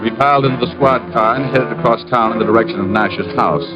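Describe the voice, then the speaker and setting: An actor playing a wry, confident private detective in an old radio drama, speaking and narrating in a dry, clipped manner. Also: male voice, medium pitch, fast, close to an everyday voice. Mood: dry narration. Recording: muffled old radio recording with music.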